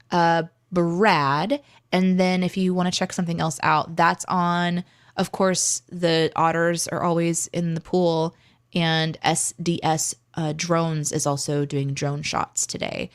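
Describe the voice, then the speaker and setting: A woman's voice: fairly low pitch, steady tone, unhurried, smooth. A woman co-hosting a live show, chatting casually in an encouraging, chatty way. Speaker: co-host of a live stream and podcast. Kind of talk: casual livestream chat.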